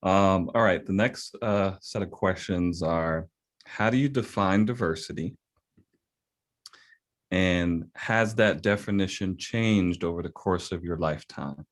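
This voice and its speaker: A man with a low voice moderates a panel. He keeps his questions short and plain, moving the talk along. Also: a little slow.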